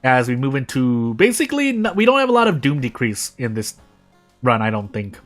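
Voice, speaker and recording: An American man streaming a game, thinking aloud in casual commentary with frequent restarts and sudden jumps in pitch. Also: huge pitch swings, brisk. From United States. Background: faint game music.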